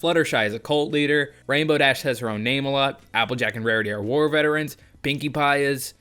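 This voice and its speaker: A man's voice: mid-range voice, fast, sharp tone, smooth. Sardonic man in casual video commentary. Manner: sardonic, sweary. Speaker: video essayist. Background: faint background music.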